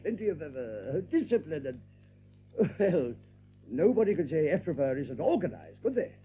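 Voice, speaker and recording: Rabbit captain: highish, hesitant, evasive, stammering under questioning; audio drama, noisy old-sounding recording. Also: male voice, big pitch swings, normal speed. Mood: nervous, evasive. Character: nervous male rabbit captain hiding a secret. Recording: muffled lo-fi tape with background noise.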